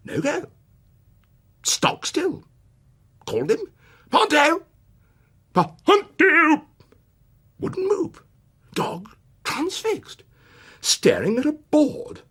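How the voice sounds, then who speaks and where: Breezy boastful stranger, high, swooping, husky, staccato scraps of speech, radio drama. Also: male voice, huge pitch swings, extremely slow, pauses often, a bit bright, exaggerated voice. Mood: boastful, jaunty. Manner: clipped telegraphic phrases, jaunty, abrupt. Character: loquacious, breezy male stranger, boastful coach-travelling tall-tale teller.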